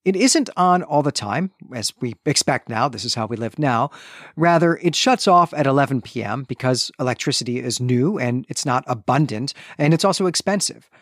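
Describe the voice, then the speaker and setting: A man's voice: medium pitch, lively intonation, moderate pace, fairly bright tone, no room sound, studio quality. A man co-hosting a podcast, recapping and discussing fiction in a discursive, conversational way with frequent qualifications. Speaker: podcast co-host. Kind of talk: book discussion and story recap.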